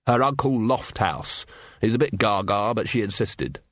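A man's voice: lowish voice, lively intonation, normal speed, a bit bright, no echo, studio quality. A man voicing a polite but harassed, reluctant bridegroom in an audiobook, speaking in a terse, matter-of-fact way.

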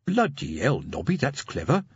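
A man's voice: medium pitch, huge pitch swings, moderate pace, breathy edge, dry recording, dull audio, voice pushed up, exaggerated voice. A man voicing a gloomy veteran police sergeant in an audiobook story, grumbling ponderously. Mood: doubtful.

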